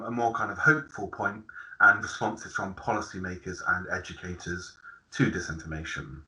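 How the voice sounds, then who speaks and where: A young man speaks as a research student giving an online lecture. His voice is slightly low and strikingly bright and forceful. He explains his points steadily but peppers them with fillers. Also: rough recording. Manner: hesitant, filler-heavy explaining.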